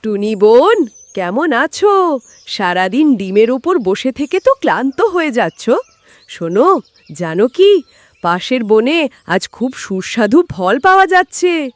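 Lady doing a jealous sneaky crow for a kids cartoon, arrogant, fake sweet, really animated high voice. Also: unhurried, dry recording. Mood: coaxing. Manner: sly, gloating, falsely friendly. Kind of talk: cartoon character voice in a moral tale.

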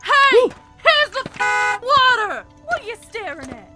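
A woman's voice: very high-pitched, big pitch swings, measured pace, bright, forceful tone, full character voice. A woman voicing a greedy, feisty female cartoon duck in a fan comic dub, theatrical and scornful. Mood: shouting alarm. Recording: roomy, distant home recording over music.

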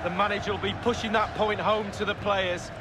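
Guy as a matter of fact co-commentator, highish voice, chatty analysis, loud crowd-type noise all around. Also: flat delivery, brisk, natural voice. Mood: measured. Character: male football pundit, measured and matter-of-fact. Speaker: co-commentator, pundit. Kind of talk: conversational football analysis. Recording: loud stadium noise behind the voice.

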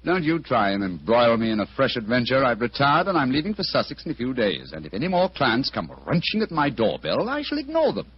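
An actor playing an urbane, wry, stubborn detective in an old-time radio drama, formal in manner. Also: male voice, medium pitch, a little fast, few pauses. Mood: firm, exasperated. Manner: crisp, formal, amused, animated. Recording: dull, noisy old radio recording.

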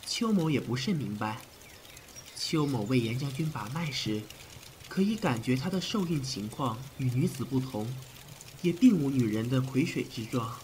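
Casting: male actor as deferential old-world doctor, frank, measured, formal, mid pitch, audio drama with faint background noise. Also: animated, darkish tone, lightly acted. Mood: explanatory. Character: frank, deferential male physician of ancient China. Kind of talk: period audio drama acting.

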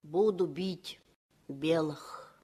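A woman's voice: sits low, extremely slow, mellow, breathy edge, heavily acted. An actress playing an earnest, boastful village boy, speaking in solemn short phrases in a 1960s Soviet radio play.

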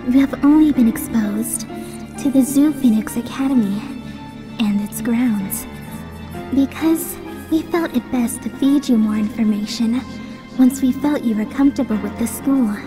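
Woman as high-voiced solemn mystical lady. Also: natural voice. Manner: formal, measured. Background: music.

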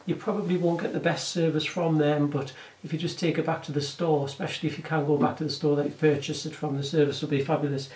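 A man in his forties with an English accent, chatting casually through a bag review, hoarse from a sore throat and often correcting himself. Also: on the high side, flat delivery, fast, few pauses, a little mellow, roomy sound, far from the mic, low-quality recording. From England. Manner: rambling, self-correcting, chatty. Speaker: vlogger reviewing bags. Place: room indoors, curtain backdrop.

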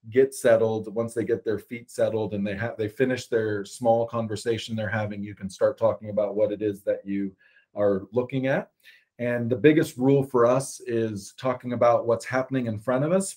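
A man with a loud, deep voice presents on a video call from a small room. He talks in an easygoing way, filling pauses with uh. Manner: relaxed, many uh fillers. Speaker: ranger and outdoor educator. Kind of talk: webinar presentation.

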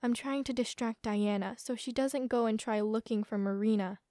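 A woman voicing a bossy, scheming young woman villain in an animated roleplay drama. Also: a little high, normal speed, dry recording, clean studio sound, close to an everyday voice.

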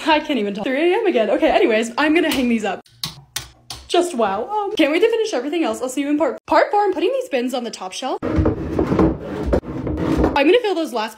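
Young woman, slightly raspy, high lively voice, quick excited chatter, casual vlog voice-over. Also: a little hiss or hum. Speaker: TikTok creator.

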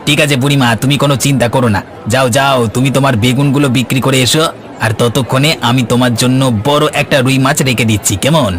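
A man's voice: mid-range voice, steady tone, fast talker, a bit bright, some chatter behind, lightly acted. A man voicing an eager, obliging village fishmonger in a cartoon. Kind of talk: cartoon dialogue. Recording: market bustle and faint noise.

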